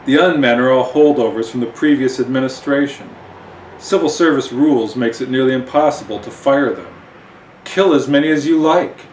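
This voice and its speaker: A man's voice: medium pitch, normal speed, darkish tone, close to an everyday voice. A man voicing a haughty, gracious undersea warrior queen in a regal, formal, emphatic way, from a comic story. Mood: dry, matter-of-fact. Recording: roomy, distant mic, faint noise.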